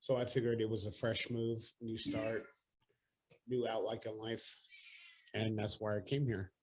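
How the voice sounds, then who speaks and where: Husky low-voiced joking co-host man. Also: level tone, measured pace, darkish tone, rough recording. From California. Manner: joking, laughs often. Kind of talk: casual chat.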